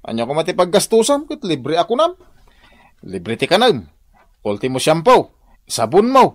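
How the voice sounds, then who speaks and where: An actor playing a wheedling, excitable husband in a radio drama, with an Ilocano accent. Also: male voice, a little high, normal speed, dry recording.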